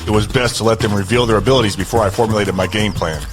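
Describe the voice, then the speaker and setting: A very deep voice over background music a male actor plays a calculating fighter. He speaks with deliberate, philosophical calm and quiet menace, as in a survival audio drama. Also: natural voice. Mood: cool, controlled. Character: TJ, cool calculating male fighter who prizes pain.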